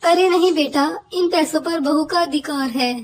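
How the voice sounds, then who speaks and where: A woman's voice: high-pitched, moderate pace, lightly acted. A woman voicing an older female bird, a bossy, motherly mother-in-law in a cartoon with a folksy way of talking. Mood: kindly. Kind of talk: Hindi cartoon voice acting.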